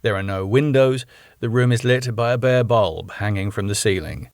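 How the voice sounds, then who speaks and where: A man's voice: on the low side, moderate pace, dry recording, studio quality. A man from the United Kingdom reading the stage directions of his own script in a plain, matter-of-fact way.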